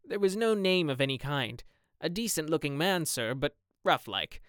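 A man's voice: fairly high pitch, animated, moderate pace, fairly bright tone, clean tone, no echo, clean studio sound, pitched above the performer's own voice. A man doing a deferential old gamekeeper. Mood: respectful. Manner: plain, respectful, clipped. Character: deferential rustic gamekeeper, middle-aged man.

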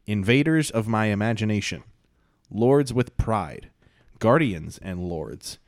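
A man's voice: on the low side, animated, slow pace, no echo, clean studio sound. A man hosting a podcast in casual chat, laid-back and rambling, with lots of fillers. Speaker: podcast host.

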